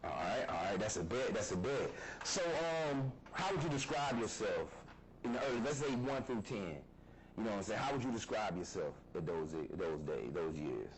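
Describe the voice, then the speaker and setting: A man hosting a casual podcast interview, relaxed and repeating "all right, bet" as he asks questions. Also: lowish voice, moderate pace. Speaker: podcast host. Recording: noisy background.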